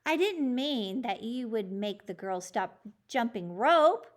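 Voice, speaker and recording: A woman's voice: on the high side, lively intonation, slow pace, pitched above the performer's own voice. A woman reading the part of an earnest schoolboy who speaks up emphatically in a children's storybook. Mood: polite, earnest. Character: Julio, earnest schoolboy speaking up bravely to principal.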